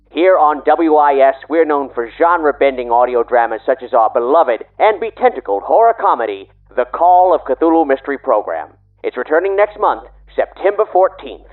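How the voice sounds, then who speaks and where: A man's voice: medium pitch, narrow, tinny audio. A man playing a courteous, easily flustered old-time radio announcer, folksy and formal, through a vintage radio filter. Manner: folksy, formal, hesitant when interrupted. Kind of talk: 1930s radio announcing acting. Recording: thin band-limited old-time radio sound.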